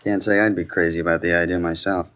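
An American actor playing a gruff, wary veteran detective in casual shop talk on a 1950s radio drama. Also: male voice, sits low, level tone, fast talker, natural voice. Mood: skeptical. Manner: laconic, colloquial. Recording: dull, band-limited old radio recording.

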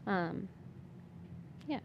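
A woman's voice: very slow, highs cut off, noisy background. A young woman speaking casually and personally as a guest in an interview.

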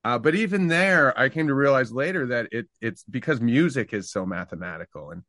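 A middle-aged man from Canada, a singer-songwriter, talking reflectively about his past in a relaxed interview, with fillers and restarts. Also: medium pitch, a little fast, no echo, clean studio sound.

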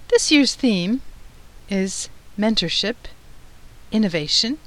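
A middle-aged woman from Turkey hosting a professional society's podcast, reading a prepared script in a measured, formal way. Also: mid-range voice, expressive, a bit bright, poor audio. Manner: measured, formal, read-aloud delivery. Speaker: podcast host, society president.

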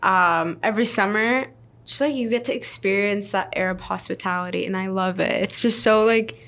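A woman's voice: medium pitch, moderate pace, fairly bright tone. Woman, Arabic mother tongue, enthusiastic, laughs a lot. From Canada. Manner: enthusiastic, laughs often, repeats words. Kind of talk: casual chat.

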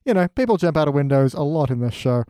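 Guy guest joking around on a podcast, bit high voiced, dry recording. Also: very animated, fast talker, warm tone, smooth, no echo. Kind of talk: casual joking review chat.

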